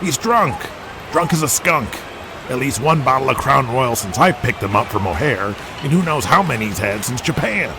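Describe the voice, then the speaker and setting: A man's voice: medium pitch, animated, moderate pace, over music, pitched above the performer's own voice, lightly acted. A man voicing an exasperated, worried adult son who complains to his boss father. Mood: annoyed.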